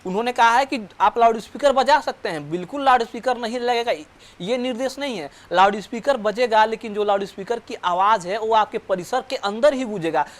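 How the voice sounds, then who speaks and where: A man reporting news live and explaining unscripted, in a halting way, often repeating and correcting his words. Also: very high-pitched.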